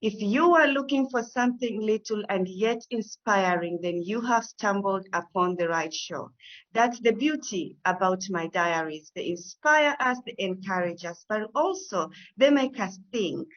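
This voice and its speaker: A woman hosting an interview show, opening with a formal, warm welcome and an introduction of her guest. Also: mid-range voice, expressive, a little slow, muffled recording. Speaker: show host.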